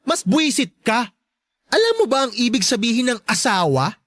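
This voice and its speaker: Sassy, animated campy best friend acted by a woman. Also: fairly high pitch, normal speed, dry recording, studio quality. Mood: mocking. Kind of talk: Tagalog radio drama acting.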